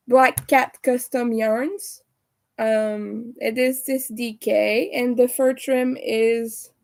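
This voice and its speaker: A young woman with a raspy, hoarse voice, chatting casually with viewers as she crochets on a livestream. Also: on the high side, darkish tone. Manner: chatty, talks to viewers while working. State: hoarse from streaming a lot. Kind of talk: casual chat while crocheting live.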